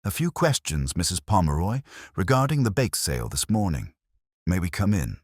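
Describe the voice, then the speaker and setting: A man voicing a mild, courteous police inspector in a mystery story, calm, measured and politely formal. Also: low voice, dry recording, clean studio sound. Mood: courteous, probing. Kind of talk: audio mystery story dialogue.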